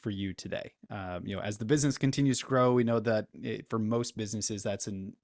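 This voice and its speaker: A man's voice: lowish voice, steady tone, brisk, vocal fry, darkish tone, dry recording, clean studio sound. A man hosting a business interview, rambling through questions with lots of fillers. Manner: rambling, with many fillers like "kinda" and "you know". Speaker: interview host and business coach.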